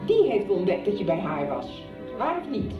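A woman's voice: mid-range voice, wildly swooping pitch, moderate pace, far from the mic, music in the background. A middle-aged actress playing a strict, interrogating mother in a radio soap. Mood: stern. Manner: stern, interrogating. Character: strict mother confronting her teenage son. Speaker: actor. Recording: dull roomy radio recording, off-mic.

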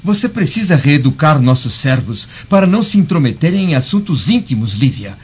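Proud Roman senator, Brazilian accent, imperious, scornful, theatrical, very animated intonation, muffled lo-fi radio play. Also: male voice, fairly high pitch, brisk, breathy edge. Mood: scornful, indignant. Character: haughty Roman senator, skeptical husband and father. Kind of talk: radio drama acting. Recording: dull lo-fi recording.

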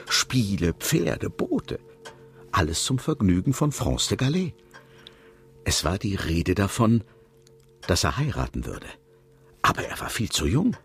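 A canny, teasing village uncle who runs a shop for a radio play. His voice is husky and creaky, and he gossips in big, lively swings of pitch. Music plays behind him. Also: heavily acted. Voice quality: husky, gravelly. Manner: animated, gossipy storytelling. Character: older French village shopkeeper uncle, chatty, canny, teasing. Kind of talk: German radio drama acting.

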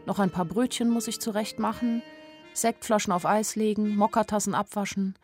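A woman's voice: mid-range voice, steady tone, normal speed, darkish tone, natural voice. An actress playing an anxious, observant young woman who narrates her own story wistfully in a literary radio drama. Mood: observant, melancholy. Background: music.

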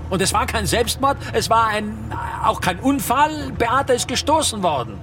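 An actor playing an agitated, self-pitying middle-aged teacher in a radio drama, emphatic, impatient and sardonic. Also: male voice, extremely high pitch, very animated, normal speed. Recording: street-like traffic noise behind the call.

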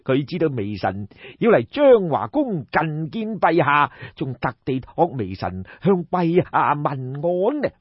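A man voicing a respectful, smooth-tongued courtier of ancient China in an audiobook, measured and earnest. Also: fairly high pitch, sing-song, full character voice. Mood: deferential, earnest. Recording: dull, roomy audiobook recording.

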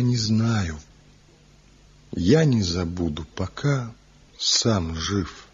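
A brooding rejected lover. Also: male voice, lowish voice, darkish tone, breathy edge, exaggerated voice. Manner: slow, brooding, impassioned declamation. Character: Zhivko, passionate rejected lover, a master carver. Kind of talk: 1970s radio drama acting. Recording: dull lo-fi old radio recording with music.

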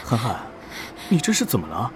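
An actor playing a puzzled, sceptical young boyfriend in an audio horror drama. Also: male voice, medium pitch, huge pitch swings, brisk, very noisy.

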